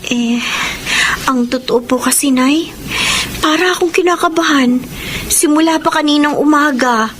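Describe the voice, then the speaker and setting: A dutiful Filipino schoolgirl in a TV family drama, with a high, earnest voice. The recording has clear background noise. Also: unhurried. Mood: anxious. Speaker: actress in a TV drama.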